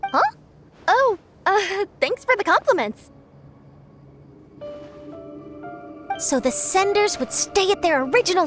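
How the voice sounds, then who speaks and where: An actress voicing an eager young female news reporter who asks lots of questions, in a game cutscene. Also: very high voice. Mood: curious. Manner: bright, inquisitive questioning. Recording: game soundtrack music behind.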